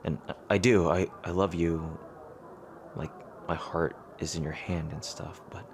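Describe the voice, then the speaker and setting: A low, deep male voice of an actor plays a rival king hopelessly in love with his enemy. He speaks earnestly and haltingly, close and intimate as in a fantasy romance roleplay. Some noise sits behind the voice. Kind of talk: romantic audio roleplay acting, intimate ASMR.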